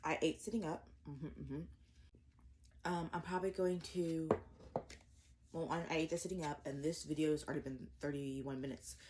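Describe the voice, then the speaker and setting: Young woman, low voice, eat-with-me vlogger; chatty, rambling, self-deprecating. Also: fairly even intonation, moderate pace, a little mellow, a little hiss or hum. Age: 20s. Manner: rambling, self-deprecating, many fillers and restarts. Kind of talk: casual eat-with-me chat to camera. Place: indoors at home, eating breakfast.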